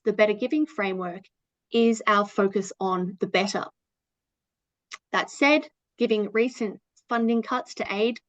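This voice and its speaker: A woman co-chairing a webinar, opening it in a measured, formal way. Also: mid-range voice, no room sound, highs cut off.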